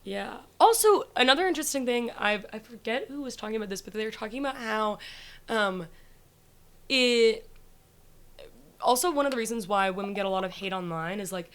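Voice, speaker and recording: A woman with a naturally raspy voice chatting casually on a podcast, talking in a rush, full of likes and restarts, often laughing. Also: a little high, animated, brisk. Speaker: comedian guest.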